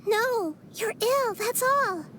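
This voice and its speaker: A woman's voice: very high voice, lively intonation, slow, noisy background, full character voice. A woman voicing a small, earnest fantasy girl in a video game, with a childlike voice. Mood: gentle, earnest.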